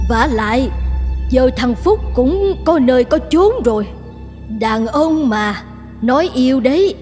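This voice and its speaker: A woman's voice: high-pitched, darkish tone. A woman playing a scheming, snobbish middle-aged mother in a cartoon drama, speaking in an emphatic, admonishing way. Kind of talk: dubbed cartoon drama acting. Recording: long reverb, music underneath.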